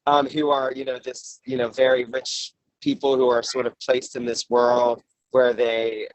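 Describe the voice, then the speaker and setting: A man with a medium-pitched voice talks casually on a panel. His phrasing is hesitant and full of fillers, and the sound is close and dry. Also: flat delivery, unhurried, dry recording, rough recording. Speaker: panelist discussing a production.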